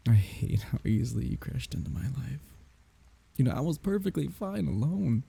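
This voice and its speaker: A man acting a grieving lover saying farewell to his dead beloved in an intimate ASMR audio roleplay, speaking in a wry, tender, halting murmur. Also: mellow, heavily acted. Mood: grieving, emotional. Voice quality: husky, breathy, gravelly low voice.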